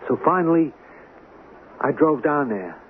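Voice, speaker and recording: An actor playing a rough-edged small-time hustler, telling his own story in colloquial, ungrammatical, resigned fashion for a radio drama. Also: a little high, lively intonation, unhurried, slight background noise. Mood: wry resignation. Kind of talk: first-person radio drama narration. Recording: tinny old radio recording, music behind.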